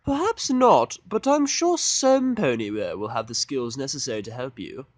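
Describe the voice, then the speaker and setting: A man voicing a prim, fussy, theatrical female pony fashionista in a fan fiction audio drama, with a haughty, drawn-out, dramatic delivery. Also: mid-range voice, big pitch swings, no echo, pitched above the performer's own voice, lightly acted. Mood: insistent.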